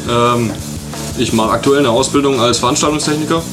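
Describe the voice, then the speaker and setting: A 21-year-old man, a lead guitarist guest, introducing himself quickly with a few hesitations. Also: medium pitch. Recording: clear music playing behind.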